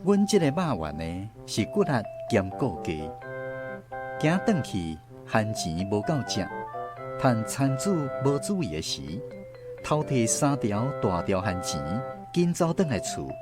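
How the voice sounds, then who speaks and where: A man's voice: medium pitch, big pitch swings, unhurried. A man with a Taiwanese accent narrating a scripted first-person story for a radio drama. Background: faint guitar music.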